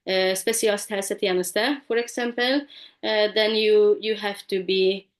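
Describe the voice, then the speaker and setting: A woman's voice: medium pitch, steady tone, a little slow. A middle-aged woman, a therapist and guest, speaking over a video call in casual chat, hesitant and full of fillers. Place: home room with bookshelves, indoors. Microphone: video call with desk microphone.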